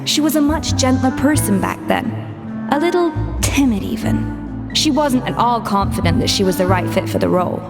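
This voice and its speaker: Stern perfectionist chef woman in a video game, highish voice, loud music under it. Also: lively intonation, normal speed. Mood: wry, candid. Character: proud, exacting young female head chef.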